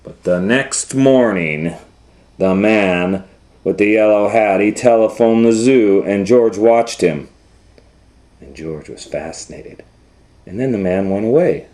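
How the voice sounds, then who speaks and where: A man reading a children's story aloud as storytime host, pausing to explain things simply in a conversational way. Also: fairly low pitch, a little mellow.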